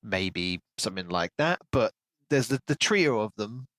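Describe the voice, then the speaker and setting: A man's voice: fairly low pitch, expressive, unhurried, fairly bright tone, dry recording. Man chatting casually, close dry sound. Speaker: podcast co-host. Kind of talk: casual book discussion.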